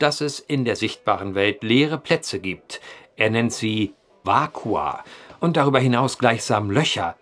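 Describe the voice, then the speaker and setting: Ironic gentleman investigator, polite, articulate, lively intonation, mid-pitched, audio drama. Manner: eloquent, dryly ironic, polite. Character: wry truth-seeking journalist posing as clumsy farmhand. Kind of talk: German audio drama acting.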